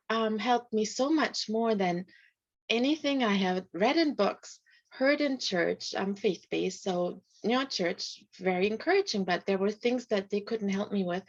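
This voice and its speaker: A middle-aged woman, a life coach, speaking softly in a casual video call interview, with light laughs. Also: medium pitch. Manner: soft-spoken, often laughs lightly. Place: home room. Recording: slightly dull video call audio.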